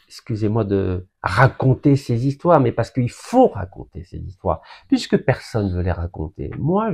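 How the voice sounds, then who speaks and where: Slow gravelly low-voiced man. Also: darkish tone. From Algeria. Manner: emphatic, deliberate, building lists. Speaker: political speaker. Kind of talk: public speech.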